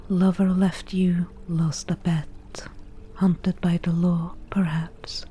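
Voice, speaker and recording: A woman plays a wry forest witch who brews potions and teases strangers in an ASMR roleplay. Her whisper is low and mellow, delivered slowly and dryly, as if nothing much impresses her. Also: level tone, a little hiss or hum. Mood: curious, mocking. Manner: whispered, dry teasing, unhurried.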